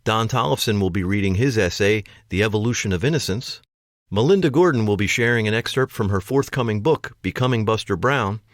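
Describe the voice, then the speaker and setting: A man's voice: lowish voice, brisk, no room sound, clean studio sound. A man hosting a podcast, reading a scripted introduction. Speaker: podcast host.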